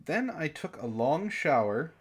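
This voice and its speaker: Animated, higher-voiced man reading with exasperated asides. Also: unhurried, slightly rough. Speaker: host of a comic book-club stream, reading aloud. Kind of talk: reading fan fiction aloud with mocking commentary.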